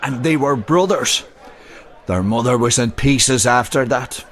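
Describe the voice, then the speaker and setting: A man's voice: mid-range voice, a little slow, a bit bright, lightly acted. An actor with a Northern Irish accent playing an old man in a pub, rambling wistfully about the past. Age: older. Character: old male drinker in a pub, haunted by the past.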